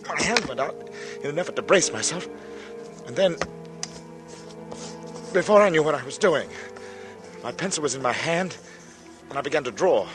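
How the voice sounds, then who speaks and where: An actor in a 1940s radio drama playing an uneasy thirty-five-year-old artist, telling his strange story slowly and deliberately. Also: on the high side, lively intonation, lightly acted. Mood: agitated, intense. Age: 30s. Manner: slow, halting, deliberate, dramatic. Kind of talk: 1940s radio drama acting, first-person narration. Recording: vintage radio broadcast with music. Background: dramatic music.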